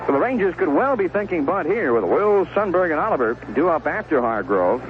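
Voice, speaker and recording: Animated baseball announcer on noisy old radio, male. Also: a little high, brisk. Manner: conversational, descriptive, clipped short phrases. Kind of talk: live baseball play-by-play commentary. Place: stadium broadcast booth. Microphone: broadcast microphone with headset earphone monitoring. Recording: noisy, dull 1970s AM radio broadcast.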